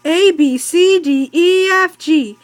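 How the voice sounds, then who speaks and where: A silly talking storybook bird, as a girl reader puts him on, chirpy and high in pitch. He chants cheerful rhymes in a playful sing-song. The sound is dry and close. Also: slow pace, dry recording. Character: cheerful male talking pet bird, silly rhyming singer.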